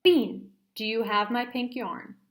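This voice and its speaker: A woman's voice: on the high side, fairly even intonation, takes it slowly. A woman voicing a bossy, suspicious older sister in a children's storybook, pointed and accusing. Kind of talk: children's storybook read-aloud character voice.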